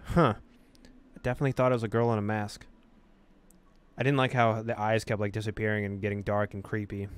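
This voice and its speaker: A man's voice: lowish voice, level tone, fairly quick, clear, resonant tone. A man reading a visual novel aloud as a gamer, mixing story narration with casual commentary. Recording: noticeable background noise.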